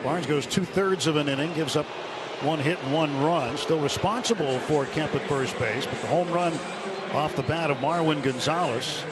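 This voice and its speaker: A man calls a baseball game for radio, his voice a touch higher than most. He stays steady between pitches and lifts when the ball is hit deep. Heavy crowd and stadium noise fills the broadcast. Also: moderate pace. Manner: excited on big plays, steady between pitches. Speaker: play-by-play announcer. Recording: noisy ballpark broadcast.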